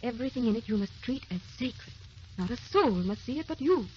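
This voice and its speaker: A plaintive young wife played by an actress with a high, girlish pitch, anxious and tender in old-fashioned radio acting. The recording is muffled and hissy, with crackle behind her. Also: expressive, a little fast, darkish tone, lightly acted. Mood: distressed, confiding. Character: fearful, loving young wife who never ages. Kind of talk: 1930s-40s radio drama acting.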